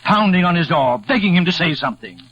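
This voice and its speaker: An impatient middle-aged stepfather in a vintage radio play. His voice sits high for a man and swings widely as he blusters, with little sympathy. The old recording is muffled. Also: animated, fast, fairly bright tone. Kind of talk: 1940s radio acting.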